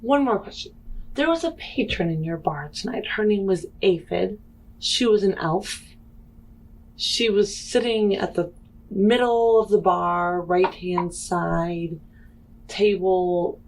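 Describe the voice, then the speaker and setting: A woman playing a tabletop roleplaying game, speaking in character in a deliberate, earnest, questioning way. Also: fairly low pitch, darkish tone, poor audio.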